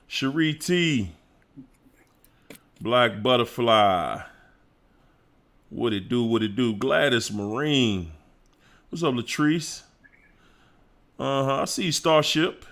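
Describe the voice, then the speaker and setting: A man's voice: mid-range voice, creaky, a bit bright. A man hosting a casual livestream, greeting viewers by name in a playful, hyped-up way and singing little snippets. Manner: playful, hypes up chat, sings snippets and laughs. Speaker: livestream host.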